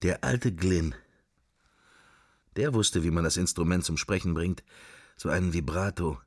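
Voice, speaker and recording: A man's voice: low-pitched, a little slow, no echo, clean studio sound. An actor playing a wry, sceptical middle-aged man murmuring his fragmentary private thoughts in a radio drama. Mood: reminiscing, wry. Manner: murmured, fragmentary, associative musing. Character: musing middle-aged man, wry, sceptical, worldly, silently observing church. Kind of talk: radio drama interior monologue.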